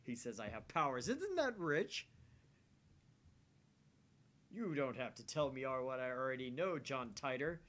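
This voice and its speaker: A man's voice: mid-range voice, very animated, a little slow, long pauses, fairly bright tone. A man voicing a theatrical, grandiose and paranoid young self-styled mad scientist from a visual novel, in suspicious monologue.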